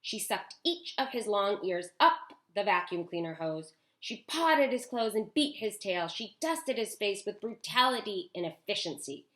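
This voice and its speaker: A woman teacher reading a storybook aloud to children, expressive, with chatty asides. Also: mid-range voice, normal speed, few pauses. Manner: animated, with chatty asides to young listeners.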